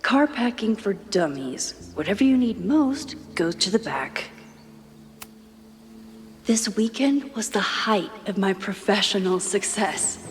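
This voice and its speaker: A woman's voice: medium pitch, very animated, slow, a little hiss or hum. Wry young woman, reverberant. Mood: wry, reflective. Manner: wry, reflective. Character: wry, gentle young woman, artist and teacher. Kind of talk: video game acting, reflective inner monologue.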